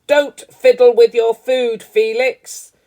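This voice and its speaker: A woman's voice: steady tone, slow, warm tone. A woman voicing a stern, bossy nun who scolds orphans in a children's storybook. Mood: stern scolding. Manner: booming, bossy. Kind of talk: children's storybook read aloud.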